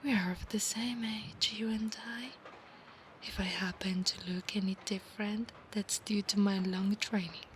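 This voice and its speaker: A woman's voice: mid-range voice, steady tone, a little slow, a little hiss or hum, lightly acted. A woman voicing a proud, insistent female centaur knight of a holy order in an ASMR roleplay, formal and commanding in her speech. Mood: soft, intimate. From Italy. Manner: formal, commanding, haughty, archaic phrasing.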